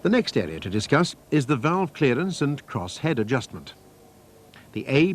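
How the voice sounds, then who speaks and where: Man narrating with very lively pitch, slight noise. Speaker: narrator of a technical training film. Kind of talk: scripted instructional narration. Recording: noisy archive training-film soundtrack.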